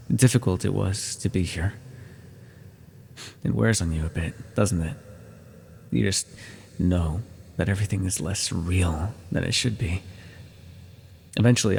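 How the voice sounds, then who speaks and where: A man playing an ancient, possessive godlike villain in an intimate ASMR audio roleplay, patient and condescending, with menacing tenderness and a gravelly, husky voice. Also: lowish voice, a little mellow. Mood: wistful, tender. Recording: long reverberant echo.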